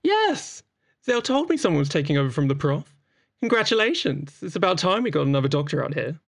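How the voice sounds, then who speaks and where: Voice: medium pitch, sing-song, a little fast, no room sound, studio quality. An actor of androgynous voice playing a sociable, playful doctor in a chatty audio drama, exuberant, informal and teasing. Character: breezy, sociable, playful doctor at a space clinic.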